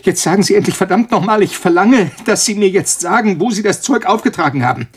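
Husky, panicking male scientist. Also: high-pitched, lively intonation. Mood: frantic, demanding. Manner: agitated, halting, repeats himself. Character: cornered, panicking male molecular biologist pleading with his tormentor. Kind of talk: radio drama acting.

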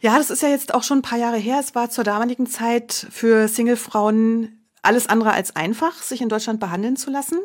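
A woman's voice: on the high side, a little fast. A German woman from Berlin in her forties, speaking candidly and reflectively as an interview guest, often restarting her sentences. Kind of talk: personal interview.

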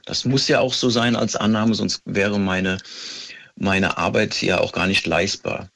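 Deep-ish male voice, expert guest explaining stuff step by step, precise wording. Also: steady tone, vocal fry, a bit bright. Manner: methodical, measured, precise wording. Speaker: police handwriting expert, interview guest. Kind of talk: explanatory interview answers.

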